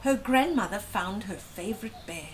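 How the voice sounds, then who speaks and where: A woman's voice: medium pitch, lively intonation, moderate pace, noisy background. A woman storyteller reading a children's picture book aloud, expressively, with chatty asides and questions to young listeners.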